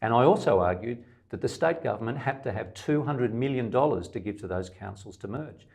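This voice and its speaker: A man's voice: mid-range voice, expressive, a little fast, creaky, darkish tone. An Australian man, a middle-aged independent candidate, answering interview questions emphatically in long lists, with some stammered restarts.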